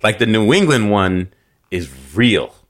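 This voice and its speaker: Man from New York, very low voice, animated storyteller, casual podcast chat. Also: measured pace. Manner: animated storytelling, frequent restarts and fillers. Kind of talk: casual conversation.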